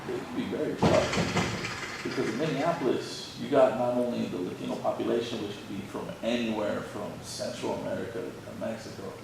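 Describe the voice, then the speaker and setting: A man's voice: fairly low pitch, normal speed. A man speaking in a conversational, opinionated way in an informal group discussion, recorded in a large room on a distant microphone. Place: large indoor arts-center room. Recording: echoey hall, distant mic, clear background noise.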